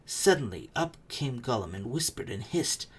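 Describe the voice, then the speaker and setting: A storyteller giving a dramatic, suspenseful reading of a book, with phrases often falling away into a hush. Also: medium pitch, moderate pace, slightly rough. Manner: expressive, suspenseful, hushed phrase endings. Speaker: narrator. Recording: faint background noise.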